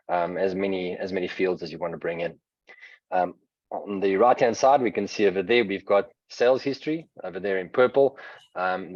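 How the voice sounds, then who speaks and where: A man with a South African accent giving a webinar presentation and software demo, explaining steadily with frequent hesitant fillers. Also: sits low, dry recording. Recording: dull lo-fi webinar audio.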